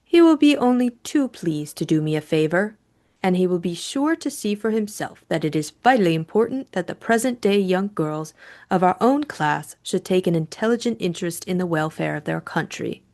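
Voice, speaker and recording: A woman's voice: fairly low pitch, animated, moderate pace, a little mellow. A woman voicing an imperious older aristocratic lady in an audiobook mystery, speaking in a lofty, commanding, emphatic way.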